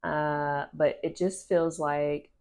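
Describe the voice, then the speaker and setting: A low-pitched woman with a congested, nasal voice reads tarot cards straight into her phone camera. She talks casually and rambles, restarting phrases and leaning on "like" as she feels her way through each card. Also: little pitch movement, takes it slowly, darkish tone, smooth. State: stuffy nose from allergies. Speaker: tarot reader.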